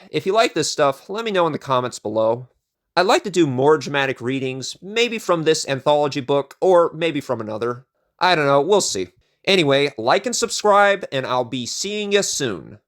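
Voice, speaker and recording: A man's voice: a little high, a bit bright. Man reviewing over music. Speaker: host and reviewer. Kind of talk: casual review commentary to viewers.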